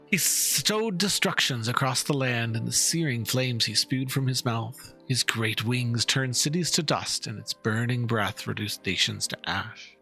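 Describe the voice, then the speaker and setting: A man's voice: mid-range voice, lively intonation, a little slow, few pauses, sharp tone. A man performing a dramatic reading as a patient, theatrical storyteller coaxing a young listener through a fairy tale. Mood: storytelling. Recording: music behind the voice.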